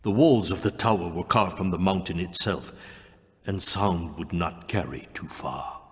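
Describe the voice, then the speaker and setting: Deep, grave fantasy chronicler. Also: male voice, unhurried, slightly rough, heavily acted. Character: grave male chronicler of a dark fantasy war. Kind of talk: scripted video game narration.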